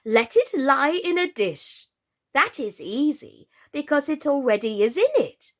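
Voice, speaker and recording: A gentle, dithering storybook queen who coos like a pigeon, voiced by a woman. She recites in a high, lilting sing-song that rises and falls as she goes. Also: sing-song, measured pace, heavily acted. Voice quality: cooing like a pigeon.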